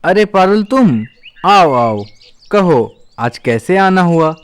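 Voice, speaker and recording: A man's voice: high voice, big pitch swings, slow pace, clean tone, exaggerated voice. A man voicing a chatty, easygoing male crow who runs a hotel in a cartoon. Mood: friendly. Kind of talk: cartoon voice acting.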